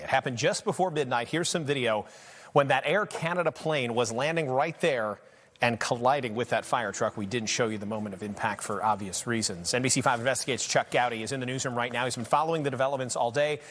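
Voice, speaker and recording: A man's voice: mid-range voice, fairly bright tone, long reverb tail. An American man anchoring the news, introducing a story in a scripted broadcast style.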